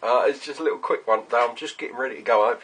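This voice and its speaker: A middle-aged man with a husky voice, chatting casually and rambling in a workshop vlog, often sweary. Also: medium pitch, rapid-fire, fairly bright tone, poor audio. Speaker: vlogger, workshop owner. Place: small cluttered workshop, indoors.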